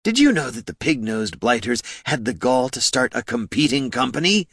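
A man's voice: medium pitch, big pitch swings, normal speed, fairly bright tone, slightly rough, no echo, clean studio sound, pitched above the performer's own voice, heavily acted. A man voicing a pompous, smug businessman in a fantasy audiobook, drawling with leisurely condescension. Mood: disdainful.

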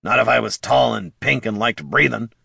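Scrappy little kobold acted by a man: raised pitch, colloquial and prickly, fantasy audiobook character. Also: animated, a little fast, bright, forceful tone, no echo, studio quality, heavily acted. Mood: guarded. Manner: colloquial, gruff, growling when riled. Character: scrappy small male kobold, plain-spoken, proud, prickly.

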